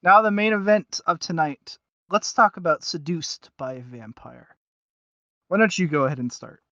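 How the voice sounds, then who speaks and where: A man's voice: on the high side, normal speed, sharp tone, no room sound, highs cut off. A man co-hosting a review podcast in casual, unscripted chat. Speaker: podcast co-host.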